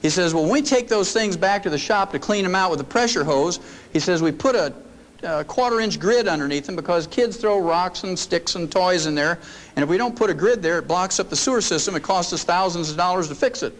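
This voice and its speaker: A man's voice: sits high, expressive, fairly quick, rough recording. Folksy older man lecturing a crowd. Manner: folksy, storytelling, joking. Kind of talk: folksy seminar talk. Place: meeting room before an audience.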